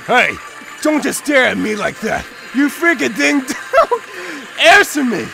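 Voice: high voice, sing-song, unhurried, creaky, sharp tone, faint music behind, heavily acted. A let's player voicing a hot-headed teenage male delinquent from a visual novel, barking confrontational threats and childish swears. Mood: angry, threatening.

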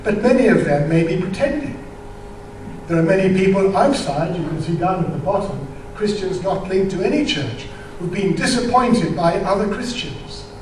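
An elderly man speaks to a church gathering in an informal, explanatory way, with some hesitation. His voice sits quite high for a man. The recording is very echoey, with clear background noise. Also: lively intonation, moderate pace. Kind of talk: informal church talk with slides. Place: large church hall with audience, indoors. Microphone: microphone on a stand. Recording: echoey hall, distant mic, noisy.

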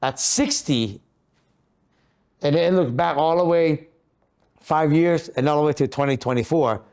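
A man's voice: fairly high pitch, unhurried, darkish tone, smooth. Earnest, coaching man in his fifties. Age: 50s. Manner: earnest, coaching, asks himself rhetorical questions. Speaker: podcast co-host. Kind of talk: motivational podcast talk. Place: office meeting room.

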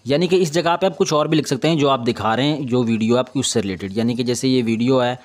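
A man's voice: medium pitch, quick pace, soft background music. A man hosting an informal step-by-step screen tutorial, instructing with frequent repeated confirming tags. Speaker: video editing tutorial host.

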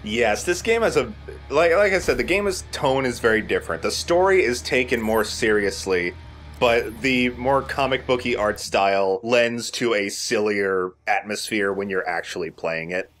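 A man with a fairly low voice gives casual game commentary. He talks as a let's play host, with faint music underneath. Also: moderate pace, fairly bright tone.

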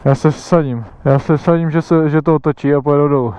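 A man's voice: a little high, fairly even intonation, warm tone, slight background noise. A young man from the Czech Republic chatting casually while riding an electric motorbike, laughing often and swearing as he talks. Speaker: motovlogger. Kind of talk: casual helmet-cam motovlog chat. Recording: dull lo-fi sound.

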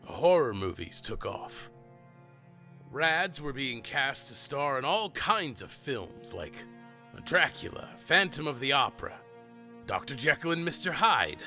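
An actor playing an earnest middle-aged monster father, telling family history with measured, dramatic pauses in an audio drama. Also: mid-range voice, very animated, bright, forceful tone, faint music behind, full character voice. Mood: wistful, amused.

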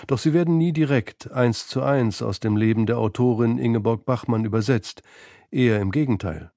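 Mellow male documentary narrator. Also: mid-range voice, no echo, clean studio sound. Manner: measured, literate, sober delivery. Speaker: narrator of a radio feature.

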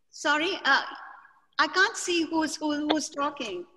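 Bright, unhurried woman hosting a class. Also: sits high, big pitch swings, low-quality recording. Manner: friendly, calls on participants one by one. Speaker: session host. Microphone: video call.

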